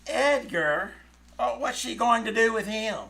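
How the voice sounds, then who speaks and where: A woman's voice: fairly high pitch, unhurried, vocal fry, a bit bright. A woman playing a skeptical, wisecracking young boy with a dry, sarcastic manner in old-time radio comedy. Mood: sardonic. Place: live on a small theater stage.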